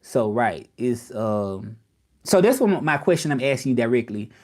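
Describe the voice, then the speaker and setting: A man's voice: medium pitch, sing-song, normal speed, a little mellow. Rambling Southern man chatting live. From Memphis, Tennessee, US South. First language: English. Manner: rambling, repeats himself, questions the viewers directly. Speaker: live streamer. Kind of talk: casual live stream chat.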